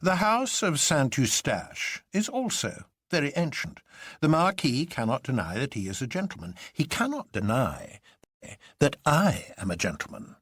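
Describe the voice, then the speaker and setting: A man acts out an exuberant, unflappable gentleman from a storybook. His speech is pompous and grandiloquent, pitched well up and swooping widely, in a dry, close sound. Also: sing-song, normal speed, slightly rough, no echo, exaggerated voice. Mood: calm, lofty.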